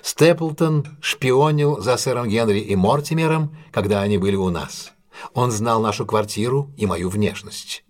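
An actor playing a composed, analytical male detective in an audio drama, explaining things in a deliberate, self-assured way. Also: fairly low pitch, lightly acted. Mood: composed, explanatory. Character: composed, analytical male English detective recounting a solved case.

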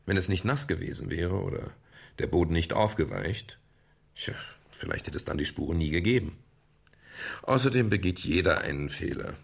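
An actor playing a calm, reflective private detective in a German radio crime drama, speaking in a measured, explanatory way with a gravelly, husky voice. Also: male voice, deep voice, full character voice. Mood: calm explaining. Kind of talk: German radio crime drama, dialogue and first-person narration.

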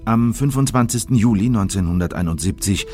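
Very low-voiced male documentary narrator. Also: lively intonation, fairly quick, dark tone, faint music behind. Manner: formal, factual. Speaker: narrator and interviewer.

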